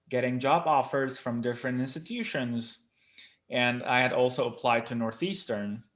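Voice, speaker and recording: A man whose first language is Armenian, a guest professor, recounting his career in a casual interview, earnest and frank with frequent ums. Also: medium pitch. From Lebanon.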